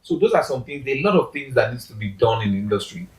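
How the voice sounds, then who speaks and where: A man talking as a guest in a casual interview, animated, pushing his voice up for emphasis and restarting sentences. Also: medium pitch, fast. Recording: roomy echo.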